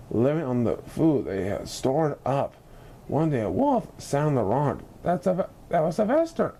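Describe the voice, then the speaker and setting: Animated man reading a children's story. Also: a little high, slight background noise. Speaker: story reader.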